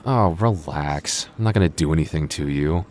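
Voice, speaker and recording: A man's voice: extremely low pitch, normal speed, a little mellow. A man acting a flustered young vampire store clerk in an audio roleplay, stammering nervously and awkwardly. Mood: exasperated, defensive. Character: flustered young male vampire working convenience store night shift. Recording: faint background noise.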